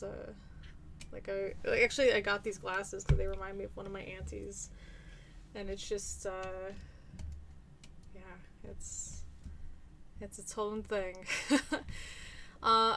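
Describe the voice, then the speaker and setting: Woman in her thirties, casual livestream chat, rambling with fillers, mid-pitched voice. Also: fairly bright tone, a little hiss or hum. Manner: chatty, rambling, many fillers. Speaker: livestream host and teacher. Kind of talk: casual chat reading viewer comments.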